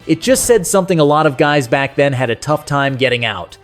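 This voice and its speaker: A man with a slightly high voice hosts a nostalgic countdown. His scripted narration is conversational and sentimental, addressed straight to the listener. Music plays faintly behind him. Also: expressive, brisk.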